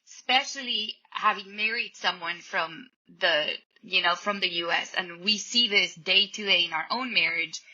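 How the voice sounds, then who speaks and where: A woman from Colombia, a podcast guest, calmly explaining her ideas in a reflective conversational way. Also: mid-range voice, moderate pace, hardly any pauses. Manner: thoughtful, explanatory, quotes people in little voices. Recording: dull lo-fi podcast audio.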